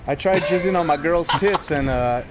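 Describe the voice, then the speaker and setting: A man co-hosting a casual gaming podcast, cracking crude deadpan jokes. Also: on the high side, moderate pace, very noisy. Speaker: podcast co-host.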